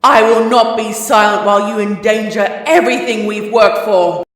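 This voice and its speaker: A man's voice: high voice, steady tone, moderate pace, fairly bright tone, heavy reverb, big-room sound, pitched above the performer's own voice. A man voicing a raging, fanatical female monster spirit that screams and snarls in an audiobook reading. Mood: fearful insistent. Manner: screaming, snarling, then pleading whine. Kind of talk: fanfic audiobook character voice.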